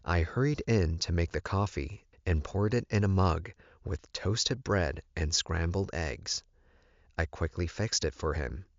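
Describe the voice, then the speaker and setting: A man's voice: low voice, measured pace, dry recording, muffled recording. A man narrating a first-person story as a scripted audiobook.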